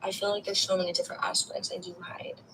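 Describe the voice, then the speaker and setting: A woman with a gravelly, fry-tinged voice opens up candidly to her reader, loose and confiding, with casual swearing. Faint background noise runs beneath her. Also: medium pitch, big pitch swings, fast, sharp tone, low-quality recording. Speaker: caller getting a tarot reading. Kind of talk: casual chat.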